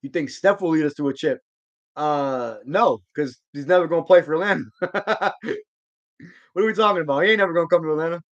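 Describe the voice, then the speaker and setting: A man's voice: on the high side, a little fast, long pauses, muffled recording. A man hosting a live sports stream, chatting casually and answering viewer comments in a rambling, slangy way. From Georgia. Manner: laid-back slang, rambling restarts.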